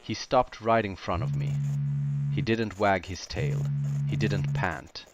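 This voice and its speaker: A man with a low voice narrates a scripted horror story in the first person. He takes his time, breaking it into short, deliberate phrases with dramatic pauses. Noticeable noise sits behind the voice. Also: dull audio.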